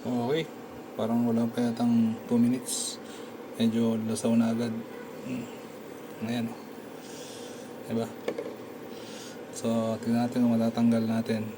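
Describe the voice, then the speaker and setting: A man's voice: medium pitch, completely flat delivery, unhurried, pauses often. A man giving a casual, conversational product review, talking through each step as he demonstrates. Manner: conversational, narrates actions step by step. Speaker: motorcycle gear reviewer and content creator. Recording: clear background noise, room ambience.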